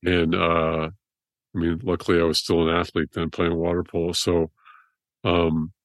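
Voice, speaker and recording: A middle-aged man, a surgeon guest with a deep voice, rambling through his story in a casual interview. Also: completely flat delivery, normal speed, no echo, clean studio sound. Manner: rambling, restarts often.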